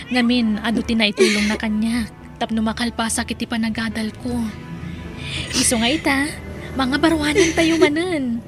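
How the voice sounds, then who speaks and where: A woman playing a kind, forgiving young woman, gentle and earnest, in an emotional audio drama. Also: fairly high pitch, close to an everyday voice. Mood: forgiving, reassuring.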